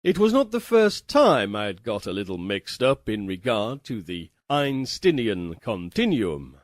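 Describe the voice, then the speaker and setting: A man reading a literary fantasy tale as dramatic narration, with a theatrical, deliberate and wryly formal delivery. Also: lowish voice, big pitch swings, no room sound, studio quality. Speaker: audiobook reader.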